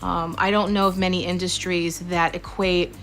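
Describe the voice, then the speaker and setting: A woman's voice: on the low side, flat delivery, normal speed, a bit bright, soft background music. A woman film producer speaking in a documentary interview, articulate and reflective.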